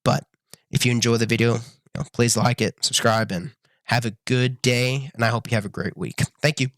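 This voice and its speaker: A young man reviewing a show to camera in a casual, earnest way, full of ums and restarts, his voice a little raspy from being sick. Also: medium pitch, steady tone, fairly quick, a bit bright, no room sound, clean studio sound. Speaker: YouTube reviewer.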